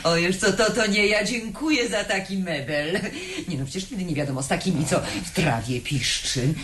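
A woman's voice: fairly low pitch, moderate pace, fairly bright tone, reverberant, close to an everyday voice. An actress in a radio play voicing a polite, respectable bourgeois lady. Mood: fussy, conspiratorial. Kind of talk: 1970s Polish radio play acting. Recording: noisy old radio recording.

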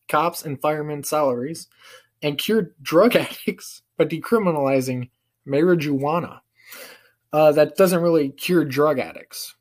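Young American man, casual and slangy. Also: a little high. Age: young adult. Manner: amused, slangy, chuckling through remarks. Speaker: prank-calling vlogger. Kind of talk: casual commentary. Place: small living room, indoors. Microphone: webcam at arm's length.

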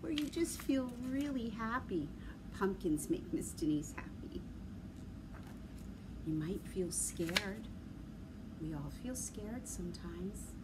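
A middle-aged woman talking to young children as a library story time host, in an animated, sing-song way with simple questions. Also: medium pitch. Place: large library room with big windows, indoors. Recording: clear background noise, room ambience.

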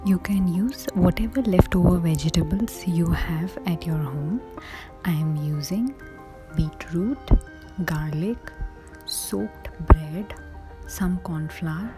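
A woman giving calm, scripted recipe narration as a cooking narrator. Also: lowish voice, slow pace, music in the background.

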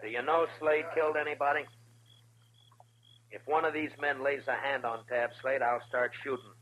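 An actor playing a stern, steady western marshal in an old American radio drama, speaking in a firm, terse, commanding way. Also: male voice, mid-range voice, moderate pace, a little hiss or hum, close to an everyday voice, rough recording. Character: stern, steady frontier marshal facing down a mob.

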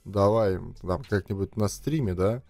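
A man's voice: lowish voice, unhurried, mellow, no room sound. Young man chatting over a mobile game. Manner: chatty, thinks aloud, talks to viewers. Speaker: gaming streamer. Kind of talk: casual game commentary. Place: small room indoors. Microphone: headset, webcam framing. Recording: dry close sound, faint music behind.

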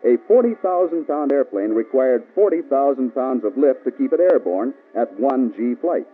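An American man reading scripted instructional narration for a mid-century military training film, measured, authoritative and precise. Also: mid-range voice, expressive, phone-quality sound. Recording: band-limited old film soundtrack with music.

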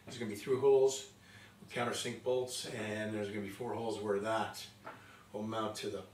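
A man in his fifties casually narrating his work in a machine shop, relaxed and pausing often with fillers. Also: fairly low pitch, far from the mic. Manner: relaxed, talks while working, many "uh" fillers. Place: large home machine shop, indoors. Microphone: fixed camera across the room. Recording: roomy shop echo, off-mic, loud machine noise.

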